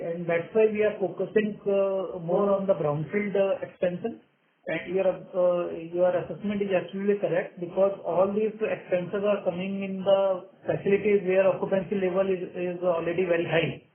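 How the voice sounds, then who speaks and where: A man with an Indian accent answering analysts as a company executive, in a halting, filler-heavy way. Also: high-pitched, steady tone. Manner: halting, hesitant delivery with many fillers. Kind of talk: earnings call answers. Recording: narrow tinny phone conference line.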